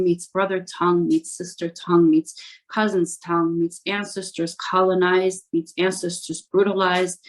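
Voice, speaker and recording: A woman poet reading her spoken word poems aloud in a measured, deliberate way, one short phrase at a time. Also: on the low side.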